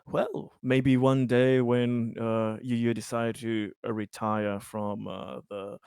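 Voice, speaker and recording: He is a courteous, affable colony director from a tabletop game as a man acts him out. His voice is mid-pitched and he chats politely, feeling his way haltingly through sentences. The sound is dry and close. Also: flat delivery, creaky, darkish tone, smooth, no room sound. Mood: courteous, chatty.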